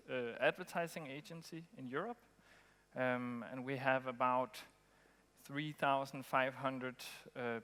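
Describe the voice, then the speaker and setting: A man from Denmark, giving a relaxed conference talk with frequent ums and pauses. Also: medium pitch, clear, resonant tone. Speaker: conference speaker. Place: conference hall. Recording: long hall reverb.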